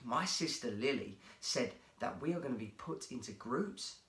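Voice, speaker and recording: A man's voice: medium pitch, lively intonation, normal speed, a bit bright, close to an everyday voice. A man voicing a sensible, cautious schoolboy in a children's story, with an earnest manner. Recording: roomy, echoey sound.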